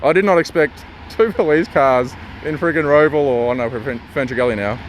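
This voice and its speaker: A man's voice: medium pitch, a little fast, a little hiss or hum, poor audio. A man from Slovakia, arguing with police on a street outdoors, exasperated and defiant, recorded on a handheld phone. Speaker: furniture remover stopped by police. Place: outdoors on a suburban street with traffic.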